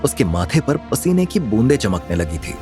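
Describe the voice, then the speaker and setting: Animated male dramatic story narrator. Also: medium pitch, moderate pace. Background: music.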